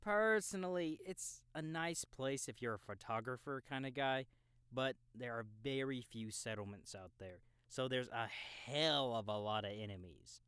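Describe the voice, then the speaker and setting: A man's voice: medium pitch, normal speed, a bit bright, smooth, no room sound, close to an everyday voice. A man playing a cocky, laid-back young gamer guide in an audiobook, casual, slangy and teasing. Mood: explanatory. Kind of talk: audiobook character dialogue in a game-world story.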